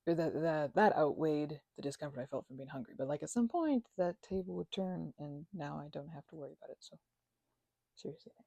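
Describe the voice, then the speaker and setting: A woman with a bright, slightly low and breathy voice, recorded very dry and close. She plays an affectionate, chatty housemate in a casual ASMR roleplay. Her delivery is playful and halting, often stammering as she teases. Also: no echo. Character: chatty affectionate female housemate recovering from migraine. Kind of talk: ASMR roleplay, one-sided casual chat.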